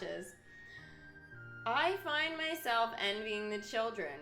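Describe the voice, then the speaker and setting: A woman game streamer with a very bright, forward voice, recorded in a roomy, echoey space with music behind her. She mixes reading story text aloud with live commentary. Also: mid-range voice. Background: video game music.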